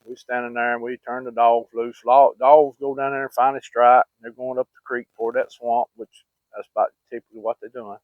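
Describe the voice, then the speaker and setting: Male storyteller, Southern US accent, mid pitch, rambling and plain-spoken; dry, narrow phone-like sound. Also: level tone, fairly quick, no echo. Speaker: vlogger. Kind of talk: casual personal storytelling. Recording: muffled, phone-like narrow-band audio.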